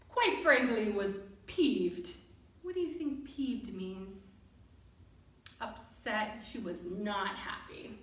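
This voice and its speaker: Lady reading a kids story, bright high voice, expressive, asks questions, echoey. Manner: expressive, deliberate. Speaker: children's storyteller. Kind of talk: animated read-aloud to children, with questions. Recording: roomy echo, distant mic.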